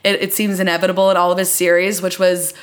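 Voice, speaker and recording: Lower-pitched woman, casual podcast chat. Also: flat delivery, quick pace. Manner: informal, sprinkles "like" and "you know". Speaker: podcast co-host.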